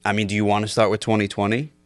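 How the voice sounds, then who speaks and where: A man's voice: lowish voice, monotone, very fast, dry recording. A young man in his twenties telling stories on a casual podcast, loose and laughing with lots of fillers. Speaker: podcast guest. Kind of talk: casual storytelling chat.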